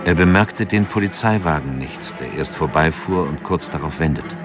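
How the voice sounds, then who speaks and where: A man narrating a radio drama in a calm, measured way, with music behind him. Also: extremely low pitch.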